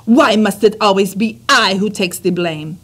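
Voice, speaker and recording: A woman's voice: medium pitch, moderate pace, a bit bright, husky. A woman voicing a haughty, dismissive mother mouse with a put-on French accent. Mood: scornful. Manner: dismissive, mocking.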